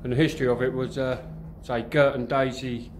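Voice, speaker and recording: A man casually narrating as he walks through a derelict countryside house, thinking aloud in a quiet, musing way. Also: medium pitch, level tone, brisk, a little hiss or hum. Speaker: urban explorer vlogger. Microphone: handheld camera, filming while walking.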